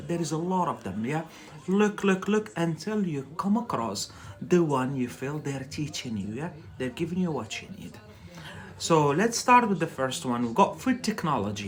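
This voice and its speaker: A man with a Scottish accent teaching English on a live stream, chatty and loose, tagging sentences with "yeah?" and repeating words for practice. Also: fairly high pitch.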